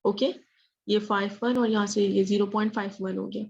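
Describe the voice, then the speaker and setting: A woman's voice: mid-range voice, steady tone, fairly quick, gravelly, darkish tone, a little hiss or hum. A woman teaching an interactive statistics lesson, asking questions and checking understanding, mixing in English phrases. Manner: mixes English phrases into speech. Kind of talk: interactive online math lesson. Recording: dull lo-fi audio.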